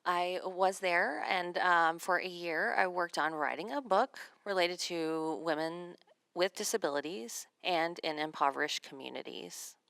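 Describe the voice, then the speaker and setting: A woman working as a sign language interpreter, voicing a signed seminar talk in measured, phrase-by-phrase delivery. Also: lowish voice, gravelly, fairly bright tone, smooth, no echo.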